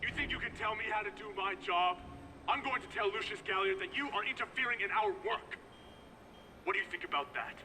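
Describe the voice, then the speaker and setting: Very high-voiced indignant male researcher. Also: lively intonation, fairly quick, pushed and bright, a little hiss or hum, heavily acted. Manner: sarcastic, challenging. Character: indignant, self-important male researcher defying armed guards. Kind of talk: acted video game dialogue.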